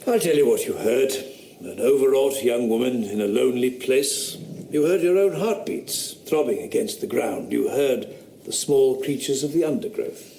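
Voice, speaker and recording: An actor playing a skeptical 18th-century gentleman scholar who talks down to a witness with rhetorical flourish. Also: mid-range voice, animated, gravelly, roomy sound, a little hiss or hum. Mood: condescending. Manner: brisk, condescending, rhetorical. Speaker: investigator questioning a witness. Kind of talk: radio drama acting.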